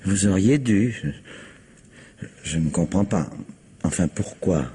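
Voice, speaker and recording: An actor playing a calm investigator in an old radio drama, asking measured, probing questions. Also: male voice, sits low, a little hiss or hum.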